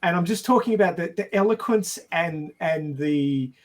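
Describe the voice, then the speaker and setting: Higher-pitched man, halting and explanatory. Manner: halting, explanatory, wryly jokey. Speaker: podcast panelist. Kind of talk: casual film and science discussion.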